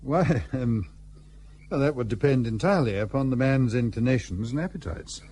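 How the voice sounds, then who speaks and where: A man playing a mellow, courteous colonial hotelkeeper. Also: medium pitch, moderate pace, a little hiss or hum, lightly acted. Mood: measured. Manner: polite, probing, old-fashioned. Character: courteous, inquisitive older colonial hotelkeeper, a father. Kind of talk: period radio drama acting.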